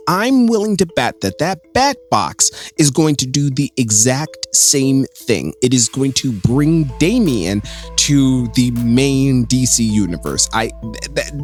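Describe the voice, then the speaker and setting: A man hosting a comics recap, retelling the story in a casual, conversational, enthusiastic fan commentary. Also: mid-range voice. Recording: voice with music underneath. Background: music.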